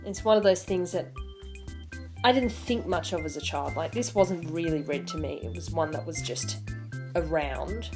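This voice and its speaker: A woman's voice: low-pitched, normal speed, over music. A woman in her twenties hosting an online video, talking casually and reading a picture book aloud with theatrical emphasis. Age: 20s.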